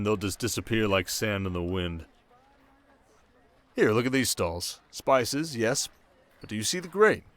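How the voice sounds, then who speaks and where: A man playing a young, sharp desert king in an intimate ASMR roleplay, teasing his new wife with measured, quietly commanding speech. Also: on the low side, natural voice. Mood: earnest.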